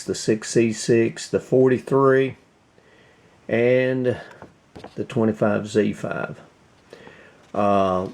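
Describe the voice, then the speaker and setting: A man with a fairly low voice and a heavy creak talks at a very unhurried pace. He hosts a casual hands-on demonstration, feeling his way through it in short, halting phrases as he thinks aloud. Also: darkish tone. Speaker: host of a radio repair video.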